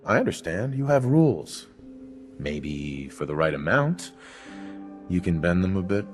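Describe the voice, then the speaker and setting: Casting: determined wasteland hero for a game, very deep male voice, dry, understated, bargaining. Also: sing-song, measured pace, soft background music. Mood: negotiating. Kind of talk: video game voice acting.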